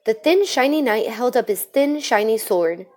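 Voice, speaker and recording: Lady with a highish voice reading a kids picture book out loud, expressive storytime style. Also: moderate pace. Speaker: storybook reader. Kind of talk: expressive read-aloud of a children's story.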